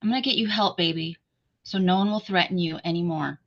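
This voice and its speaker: In dull, lo-fi audio, an actress reads as a worn, protective mother in a horror drama. Her voice sits slightly low and she sounds anxious over her child. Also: fairly quick, a bit bright. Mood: soothing. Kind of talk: script table read of a horror film.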